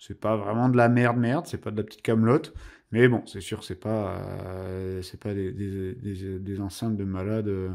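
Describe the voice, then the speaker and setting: Male reviewer, soft dark timbre, informal and self-deprecating, chatting through a hands-on demo with the odd mild swear. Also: fairly low pitch, little pitch movement, moderate pace, vocal fry. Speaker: product reviewer. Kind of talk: casual hands-on review chat.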